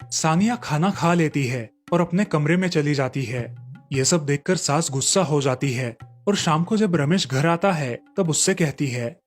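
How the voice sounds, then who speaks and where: A man's voice: a little high, a little slow. Narrator, male, for scripted children's moral tales, faint music underneath.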